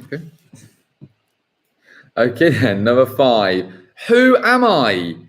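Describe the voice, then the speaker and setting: A man hosts a live football quiz, his pitch swinging up and down. He reads each question slowly and then repeats it. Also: huge pitch swings, fairly bright tone. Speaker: quiz host.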